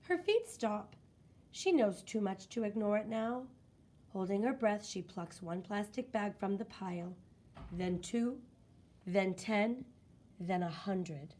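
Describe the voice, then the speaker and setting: A woman reading a children's book aloud in expressive, scripted narration with deliberate, dramatic phrasing. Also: medium pitch, a little hiss or hum.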